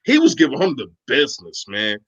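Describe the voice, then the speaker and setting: A man co-hosting a postgame sports talk show, animated, stumbling over his words and restarting. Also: mid-range voice, brisk, muffled recording. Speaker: sports podcast co-host.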